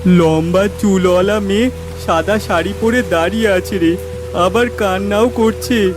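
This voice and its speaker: A man voicing a curious, sensible village boy in a cartoon, boyish and expressive. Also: sits high, unhurried. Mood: alarmed. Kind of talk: cartoon voice acting. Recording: clear music behind the voice.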